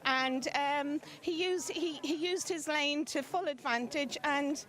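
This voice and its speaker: A woman with a high voice gives a post-race interview. She speaks haltingly, repeating words as she goes. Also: a bit bright. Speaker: greyhound connection being interviewed.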